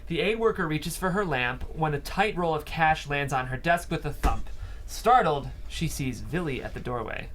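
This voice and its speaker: Male stage-directions reader for a table read. Slightly high voice, scripted narration, background noise. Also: expressive, normal speed, fairly bright tone.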